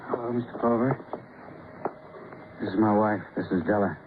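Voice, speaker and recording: A man's voice: on the low side, fairly even intonation, normal speed, natural voice. An actor playing a stunned, grieving man in old radio drama, speaking in simple, dazed sentences. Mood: dazed grief. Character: stunned, grieving husband at a friend's deathbed. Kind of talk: 1940s-50s radio drama acting. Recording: tinny, hissy old radio broadcast.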